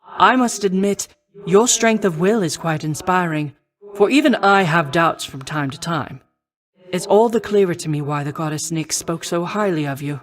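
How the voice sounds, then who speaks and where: A woman playing a noble, protective war goddess in a video game, speaking in formal, measured, old-fashioned phrasing. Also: on the low side, lightly acted. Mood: warm, reassuring. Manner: formal, measured, archaic stately diction. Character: noble female war goddess, poised, protective, confident mentor. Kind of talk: scripted video game voice acting.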